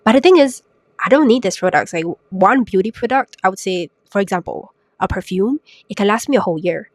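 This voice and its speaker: A young woman hosting a solo podcast while sick, her voice raspy and congested, chatty and self-mocking with lots of rhetorical questions. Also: mid-range voice, lively intonation, brisk, dry recording. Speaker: podcast host. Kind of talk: casual solo podcast talk. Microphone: new podcast microphone.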